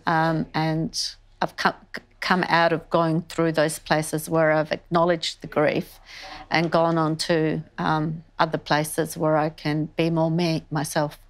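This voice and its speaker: Older woman, very low pitch, little inflection, thoughtful, conversational, artist interviewed on a lapel mic. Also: monotone, takes it slowly. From born in northern Greece, moved to Australia as a child. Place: indoor wood-panelled art studio.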